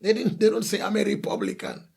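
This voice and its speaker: Gravelly, husky male pastor preaching. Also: high-pitched, little pitch movement, quick pace, a little mellow. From The Gambia. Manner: emphatic preaching, rhetorical questions, lists of repeated phrases. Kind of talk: sermon.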